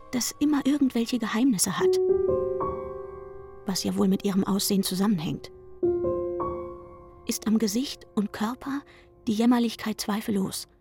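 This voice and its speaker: Bitter young barmaid, high-voiced, over music. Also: female voice. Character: aggrieved young barmaid recounting her misfortune, bitter about a rival. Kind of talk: literary radio drama monologue. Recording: studio voice over prominent music.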